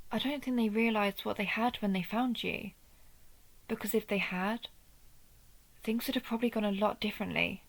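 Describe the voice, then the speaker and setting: Woman acting a gravelly vampire huntress, deliberate storyteller. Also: medium pitch, rough recording. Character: female vampire who hunts vampires, wry, urgent ally. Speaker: audio roleplay performer. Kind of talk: scripted audio roleplay, storytelling monologue.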